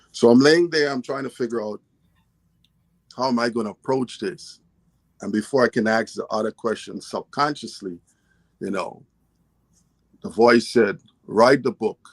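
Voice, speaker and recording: A man's voice: on the low side, vocal fry, husky, no echo. A man from Guyana, a guest author and poet, telling his story in a casual interview in an earnest, storytelling way.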